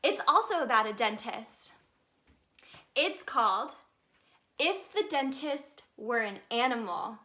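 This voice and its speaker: A woman reading a children's story aloud in an expressive, sing-song way for young listeners. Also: on the high side, slow, pauses often. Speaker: children's storybook reader, in a tooth fairy persona.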